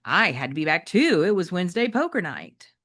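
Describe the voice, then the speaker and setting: A woman's voice: lowish voice, a little fast, no room sound, studio quality. A woman teacher reading a children's novel aloud expressively, speaking directly to her young listeners. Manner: expressive, addresses listeners with check-in questions.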